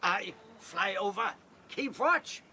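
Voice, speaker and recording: A man's voice: high voice, lively intonation, slow, bright, forceful tone, slight background noise, exaggerated voice. A man playing a blunt, helpful seagull in a radio drama, speaking broken English in short squawks with a foreign accent. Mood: matter-of-fact.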